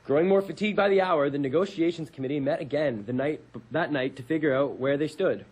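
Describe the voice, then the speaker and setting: A man's voice: on the high side, quick pace, darkish tone, husky, rough recording. A young man co-hosting a campus radio show, casually reading a history piece aloud and correcting small slips as he goes. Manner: reads steadily with small slips and self-corrections.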